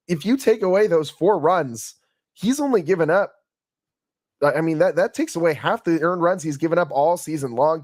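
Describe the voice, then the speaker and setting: Hoarse man recapping a ballgame. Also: on the high side, dry recording, clean studio sound. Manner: conversational, stumbles and restarts often. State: hoarse from yelling. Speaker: podcast host. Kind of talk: solo sports podcast recap. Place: home studio.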